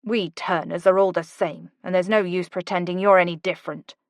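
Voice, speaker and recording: A woman's voice: on the low side, steady tone, brisk, no echo, clean studio sound, natural voice. Woman voicing a cold, domineering father. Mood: menacing. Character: stern older man, domineering father.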